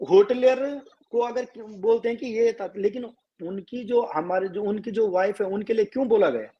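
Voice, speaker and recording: This is a man with a high voice and a Garhwali accent. He sings a slow folk song, then speaks earnestly and quickly as a guest. The sound is dull and lo-fi, like a live stream over a call. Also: warm tone. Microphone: live video call.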